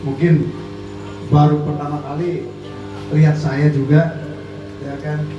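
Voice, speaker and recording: A man from West Java speaks casually to a meeting, his voice set a little high and padded with friendly fillers. He sounds far from the mic in a strongly echoing room. Music plays clearly behind him. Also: fairly even intonation, takes it slowly, muffled recording. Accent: Indonesian, not typically Sundanese. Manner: chatty, frequent "ya kan" and "nah" fillers. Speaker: army district officer addressing a radio community meeting. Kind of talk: informal speech to a gathering.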